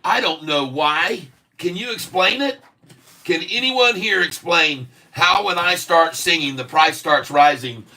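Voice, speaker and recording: A man's voice: on the high side, unhurried, sharp tone. A man in his sixties hosting a casual live stock chat, jokey and upbeat, chuckling often between remarks. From Haiti. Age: 60s. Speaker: stock livestream host.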